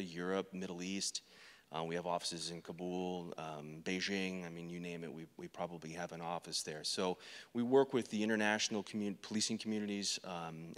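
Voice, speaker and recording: An American man giving an informal career talk as an agent, halting and hesitant, speaking into a handheld microphone on a stage. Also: deep voice, a bit bright, clear, resonant tone. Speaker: drug enforcement agent, panel speaker. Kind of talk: informal career presentation to an audience. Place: stage of an auditorium. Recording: reverberant hall sound.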